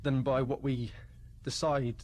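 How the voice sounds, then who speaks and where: A man's voice: medium pitch, flat delivery, a little slow, natural voice. A man playing a meek, awkward, polite captive in a radio play, hesitant and apologetic. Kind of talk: radio play monologue acting.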